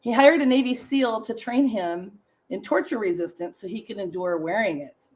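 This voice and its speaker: A middle-aged woman with a raspy voice hosting a casual live stream, chatting with viewers and reading trivia and short stories aloud. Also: medium pitch, moderate pace. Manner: chatty, laughs often, reads facts and stories to viewers.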